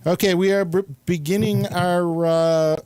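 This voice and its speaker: Slow-talking male talk show host. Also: high voice, steady tone, darkish tone, clean tone, no room sound. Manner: informal, rambling, complimentary. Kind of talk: casual studio chat, introducing guests.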